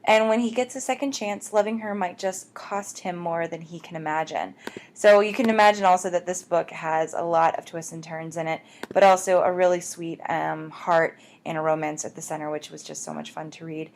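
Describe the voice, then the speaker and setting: A woman's voice: fairly low pitch, fairly even intonation, normal speed, creaky, a little mellow. A woman hosting a book podcast, talking to listeners in a bubbly, chatty way and calling them guys. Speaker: podcast host. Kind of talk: upbeat podcast intro and promo.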